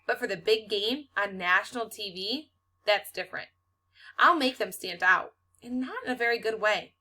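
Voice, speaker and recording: Woman reading as a self-conscious, bright-voiced schoolgirl. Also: medium pitch, lively intonation, normal speed. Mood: hurt, dejected. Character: self-conscious schoolgirl who speaks by typing.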